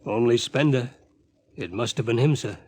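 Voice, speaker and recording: An actor playing a sober junior crew officer, respectfully reporting in 1950s radio drama style. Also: male voice, mid-range voice, normal speed, darkish tone, close to an everyday voice. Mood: grave.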